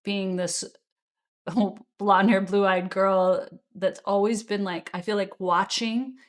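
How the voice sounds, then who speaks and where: A woman's voice: mid-range voice, level tone, slow pace. A woman hosting a podcast interview, asking curious questions and reacting in an effusive, enthusiastic way. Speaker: podcast host. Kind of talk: interview conversation.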